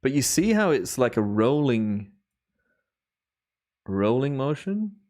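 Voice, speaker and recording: Relaxed, slightly hoarse man rambling on stream. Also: mid-range voice, slow pace. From Belgium. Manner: relaxed, rambling, chatty with viewers. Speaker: timelapse photographer streaming. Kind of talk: relaxed live stream commentary.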